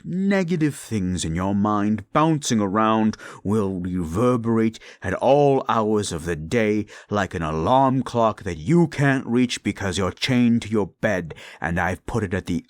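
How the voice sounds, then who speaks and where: A man voicing a cruel, unrepentant male demon in an audio roleplay, talking slowly with contempt and dropping into menacing whispers. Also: very animated, no echo. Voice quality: gravelly, low, menacing.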